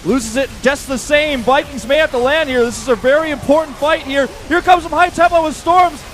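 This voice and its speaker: A young man casting a strategy game live, excitable and rising sharply when the fighting gets big. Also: extremely high pitch, a little fast. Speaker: esports caster. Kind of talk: play-by-play commentary. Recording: commentary over loud game music.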